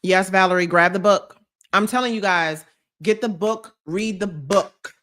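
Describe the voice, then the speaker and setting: A young woman YouTube creator from New Jersey talks casually on a live stream. She reads comments aloud and answers them. She stresses her points and repeats them, and her voice sits in a middle pitch. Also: fairly bright tone. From United States, New Jersey. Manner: emphatic, repeats advice, drawn-out phrases.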